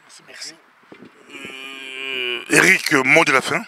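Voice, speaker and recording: A man's voice: mid-range voice, fairly even intonation, measured pace, bright, forceful tone, slight background noise. A man hosting an on-location interview, putting questions to guests as the interviewer. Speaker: interviewer and host.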